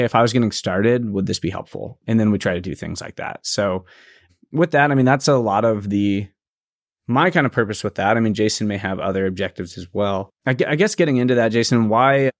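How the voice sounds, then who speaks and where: A man's voice: on the low side, no room sound, clean studio sound. A man co-hosting a casual podcast, talking in a loose, rambling way with lots of "you know" and restarts. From San Antonio area. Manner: rambling, many fillers and restarts. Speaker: podcast co-host.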